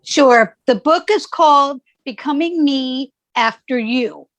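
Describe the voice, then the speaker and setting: A woman, a grief counselor speaking calmly and measuredly as an interview guest. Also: high voice, slow pace, no room sound.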